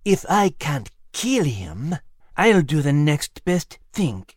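A man's voice: a little high, big pitch swings, takes it slowly, slightly rough, dry recording, clean studio sound, heavily acted. A man voicing a sneering, menacing, mocking villain in a storybook. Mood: cold menace.

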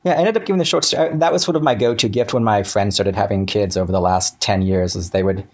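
A man speaking as an interview guest, telling things casually in a halting, self-correcting, chatty way. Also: fairly low pitch.

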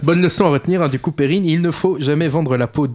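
A man's voice: fairly high pitch, quick pace, a little mellow. A man hosting a casual radio show, laughing often and hesitating with fillers.

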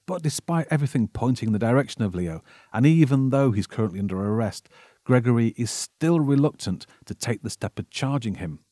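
A man's voice: mid-range voice, animated, brisk, dark tone, dry recording, clean studio sound. A British man hosting a podcast, reading scripted narration as a wry, theatrical storyteller. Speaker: podcast host.

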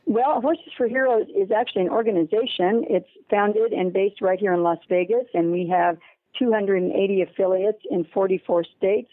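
A woman's voice: medium pitch, moderate pace, no room sound. A woman interviewed as a charity representative, explaining things over a narrow phone line.

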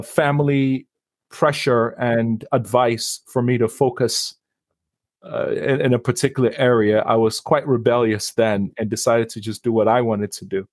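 A man's voice: medium pitch, fairly even intonation, a little slow, no echo. A man from Nigeria, a guest on a podcast interview, talking in a friendly, reflective way and laughing easily. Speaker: guest, councillor and author.

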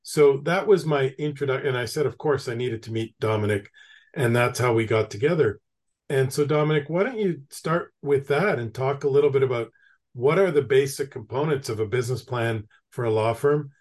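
Podcast host, a man from Canada, mid-pitched, telling stories before asking his questions. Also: brisk, a little mellow. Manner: chatty, anecdotal, asks long questions. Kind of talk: podcast interview.

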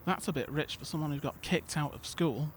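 A man's voice: on the high side, a little fast, a little hiss or hum, natural voice. A man playing a sceptical, put-upon young schoolmate in an audio drama, dry, grumbling and full of questions. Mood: wry.

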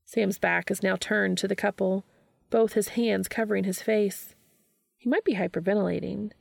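Woman reading a story out loud, expressive, bit of fry, very dry close sound. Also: mid-range voice, normal speed, dry recording. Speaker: fan fiction reader. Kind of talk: expressive scripted story narration.

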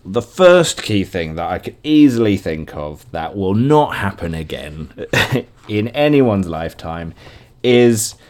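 Low-pitched British man chatting casually. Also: sing-song, slow, darkish tone. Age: 30s. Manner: rambling, chatty, self-interrupting. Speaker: podcast host. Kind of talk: casual solo podcast chat.

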